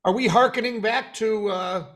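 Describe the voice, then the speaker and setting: Bright, high-pitched male podcast host. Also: normal speed. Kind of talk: hosting a humorous news and interview show.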